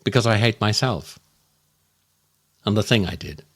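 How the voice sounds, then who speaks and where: A man performing a scripted comic monologue as a podcast host, wry and theatrical, with dramatic pauses. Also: on the low side, animated, a bit bright.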